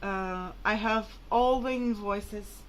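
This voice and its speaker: A woman's voice: medium pitch, takes it slowly, a little hiss or hum. A woman whose mother tongue is Portuguese, talking casually and hesitantly to viewers in a rambling, informal vlog. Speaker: vlogger.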